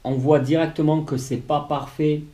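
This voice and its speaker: A man's voice: a little high, little pitch movement, normal speed, warm tone. A man hosting a casual collectible review video, a bit congested from a cold and filling his speech with hesitant "euh"s. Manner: hesitant, many "euh" fillers and restarts. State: has a cold, low on voice.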